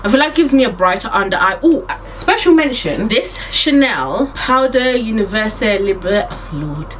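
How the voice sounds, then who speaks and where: A woman's voice: fairly high pitch, animated, moderate pace, fairly bright tone, far from the mic, slight background noise, poor audio. A woman in her late twenties chatting casually and playfully to her viewers in a Q&A vlog. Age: 20s. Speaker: beauty and lifestyle vlogger.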